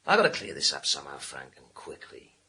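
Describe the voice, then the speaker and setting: An actor in a radio crime drama playing a sardonic, bullying police inspector with a sarcastic, theatrical manner. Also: male voice, extremely low pitch, huge pitch swings, a little fast, vocal fry, sharp tone, husky, full character voice. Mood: mocking, weary. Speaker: police detective.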